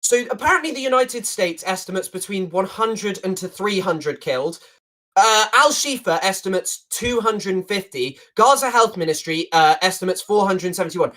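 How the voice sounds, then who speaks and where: A man with a loud voice, debating heatedly on an online stream and interrupting often. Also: high-pitched, lively intonation, moderate pace, rough recording. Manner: interrupting, indignant, repeats "hold on". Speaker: debate guest.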